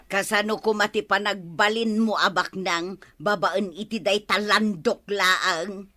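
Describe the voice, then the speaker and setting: A woman's voice: mid-range voice, fairly even intonation, unhurried, sharp tone. A woman playing a sceptical, sarcastic village wife in an Ilocano radio comedy, mocking and questioning, with an Ilocano accent. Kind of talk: Philippine radio comedy drama acting.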